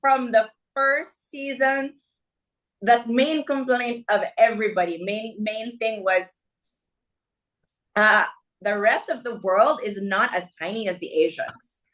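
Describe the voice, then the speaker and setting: A woman's voice: on the high side, slow pace, fairly bright tone, low-quality recording. A woman, a fashion designer from the Philippines, talking casually in an interview. She tells her stories vividly, acts out quoted dialogue and often checks in with "okay?" and "right?".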